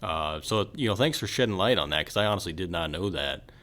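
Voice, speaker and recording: A man with a deep voice that has a bright, crisp edge. He hosts a casual podcast interview and talks in an encouraging, affirming manner. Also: animated, brisk. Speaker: podcast host.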